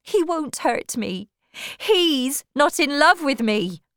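A proud, troubled young farm woman played by a woman narrator, her voice lifted high and urgent. She protests with distress in a dry storybook recording. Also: sing-song, takes it slowly, fairly bright tone, no echo, exaggerated voice.

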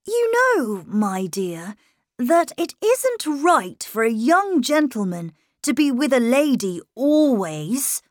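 A woman's voice: high-pitched, big pitch swings, takes it slowly, dry recording, studio quality, pitched above the performer's own voice, exaggerated voice. A woman voicing a polite, charming young boy who knows he has the upper hand, in an audiobook reading. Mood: charming, coaxing. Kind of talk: audiobook character voice.

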